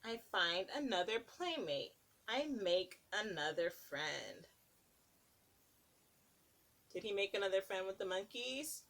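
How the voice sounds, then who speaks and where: A woman's voice: mid-range voice, animated, slow pace, pauses often, fairly bright tone. A woman preschool teacher reading a picture book aloud to young children, stopping to ask them questions. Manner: sing-song, asks children questions between pages.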